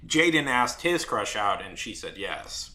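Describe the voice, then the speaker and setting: A young man chatting casually on a live stream while drawing, rambling and halting with many fillers and restarts. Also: on the low side, big pitch swings, bright, forceful tone. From Saudi Arabia.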